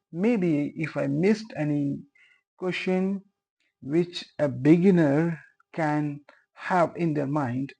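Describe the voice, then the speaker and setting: Young guy, first language urdu, doing a beginner tutorial, super slow, dark mellow voice, says uh a lot. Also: a little high, vocal fry, husky. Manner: earnest, repeats phrases, many fillers. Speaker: teacher. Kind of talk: explaining a tutorial.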